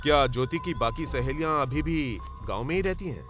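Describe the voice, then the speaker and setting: Probing male police inspector, acted by a man, fairly high voice, thoughtful, soft music bed, motion-comic drama. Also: animated, moderate pace. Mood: inquisitive.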